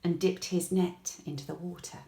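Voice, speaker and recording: A woman reading a children's bedtime story aloud in an expressive, gentle way, with deliberate pauses. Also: lowish voice, slight background noise. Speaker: storybook reader. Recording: echoey room.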